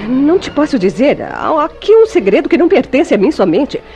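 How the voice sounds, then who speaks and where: A woman's voice: high-pitched, very animated, fairly quick, a little mellow. An actress playing an evasive, indignant woman in a Brazilian radio soap opera, with formal, dramatic, defensive delivery. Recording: radio drama with background music.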